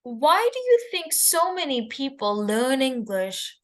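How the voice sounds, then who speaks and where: Woman, exam interlocutor, high pitch, unhurried questions. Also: big pitch swings. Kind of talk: mock speaking test.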